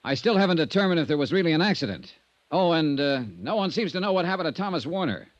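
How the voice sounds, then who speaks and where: An American actor playing a self-assured, persistent insurance investigator in a 1950s radio drama, speaking in a clipped, dry, probing way. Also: male voice, on the high side, very animated, fast talker, no echo, muffled recording. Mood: businesslike. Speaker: lead actor and narrator. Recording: noisy vintage radio recording.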